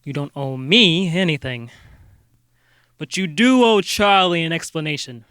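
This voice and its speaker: A man's voice: a little high, a little slow, a bit bright, smooth, no echo, studio quality. A man playing an earnest, self-important part-time vegan sleuth in a comedic detective drama. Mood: solemn, accusing.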